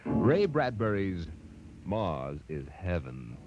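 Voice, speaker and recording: Male 1950s promo announcer, lowish and lively, muffled, over loud music. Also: slow pace, background noise. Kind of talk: 1950s radio announcing, scripted. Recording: dull old radio recording.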